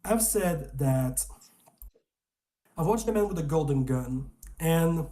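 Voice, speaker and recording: A man's voice: fairly high pitch, animated, slow pace, warm tone. A man from Canada, a guest reviewer in casual film chat, talking animatedly, often restarting sentences and swearing.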